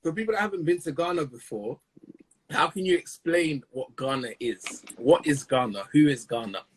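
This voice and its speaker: A man's voice: a little high, animated, normal speed, slight background noise, poor audio. A man hosting a live-stream interview, chatty and hyping up his audience. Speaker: interview host.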